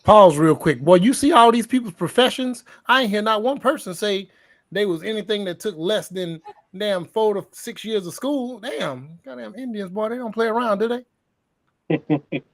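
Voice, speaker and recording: A man hosting a reaction show, pausing a clip to joke and swear in disbelief. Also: high-pitched, expressive, a bit bright. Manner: joking, incredulous, swears freely. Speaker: reaction host. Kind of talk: casual reaction commentary.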